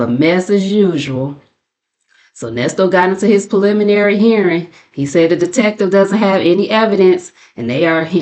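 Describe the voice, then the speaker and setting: An American woman hosting a commentary channel, giving a casual gossip recap in a sassy, mocking, colloquial way. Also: lowish voice. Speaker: commentary channel host and narrator.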